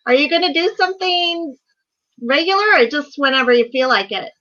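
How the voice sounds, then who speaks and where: A woman's voice: high-pitched, animated, brisk, pushed and bright. A woman chatting casually as a guest on a live video call. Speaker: guest co-panelist in a live chat. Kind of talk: casual chat. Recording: slightly dull, lo-fi call audio.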